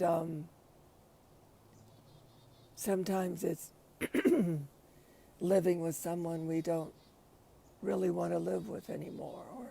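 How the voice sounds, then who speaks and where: A middle-aged woman with a raspy voice, speaking hesitantly on her livestream with many restarts and pauses, sometimes short of breath. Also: fairly low pitch, a little mellow. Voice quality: raspy after covid. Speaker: livestream host. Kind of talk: casual spoken reflection.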